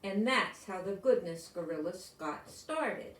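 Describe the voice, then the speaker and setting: An older woman with an American accent reading a children's story aloud, deliberately and explaining things as if to young listeners. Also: medium pitch, lively intonation. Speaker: storybook reader. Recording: roomy echo, distant from microphone.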